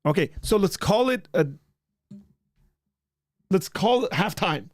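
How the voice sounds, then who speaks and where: A man hosting a casual livestream, chatting loosely and often trailing off into a quiet mumble. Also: high voice, takes it slowly, dry recording. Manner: relaxed, rambling, trailing off quietly. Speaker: livestream host.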